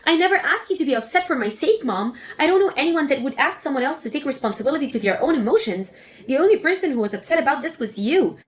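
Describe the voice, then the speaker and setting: A woman's voice: high voice, lively intonation, quick pace, soft background music. A woman voicing an angry grown daughter who confronts her mother sharply. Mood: indignant. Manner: sharp, indignant.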